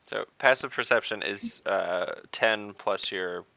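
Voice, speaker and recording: A man with a gravelly voice chatting casually as a player in an online tabletop game. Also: lowish voice, slow, pushed and bright, no room sound. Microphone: online group call. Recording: noisy, dull online call audio.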